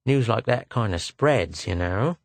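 A man's voice: fairly low pitch, expressive, unhurried, dry recording. A man voicing a small, cheeky fruit seller in a story, slangy, ingratiating and impudent. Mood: amused, impudent.

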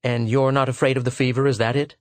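A man voicing a big protective man in a novel, sharp, abrupt and demanding. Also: mid-range voice, little pitch movement, fast, no room sound, voice pushed up, natural voice. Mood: sharp, suspicious.

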